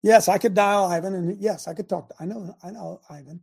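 A male talk-show host with a high, gravelly voice that turns husky at the edges. He talks emphatically, exclaiming to his viewers and calling out instructions to his producer. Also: steady tone, brisk, a little mellow. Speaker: host of a live talk stream. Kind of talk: casual live-stream talk show.

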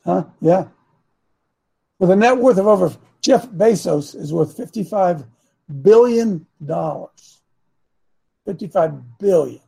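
A raspy older man holds forth as a talk show host, rambling and preaching between throat clears. His voice sits high for a man but sounds dark and husky, with a gravelly, phlegmy edge. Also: lively intonation, takes it slowly, pauses often. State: phlegmy, coughing. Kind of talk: casual political commentary.

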